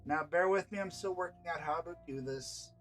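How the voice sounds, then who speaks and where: A man's voice: on the high side, normal speed, fairly bright tone, soft background music, natural voice. A man voicing a brusque, exasperated female guild leader in a story, curt and commanding. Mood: brisk.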